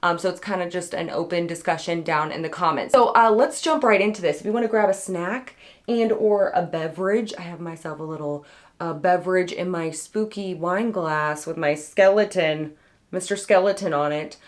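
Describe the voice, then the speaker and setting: A woman hosting a casual book discussion on her channel, her voice hoarse and raspy as if she has partly lost it. She rambles loosely, circling back and correcting herself, with plenty of fillers between thoughts. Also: fairly low pitch, normal speed. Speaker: book club vlogger. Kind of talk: casual book review chat.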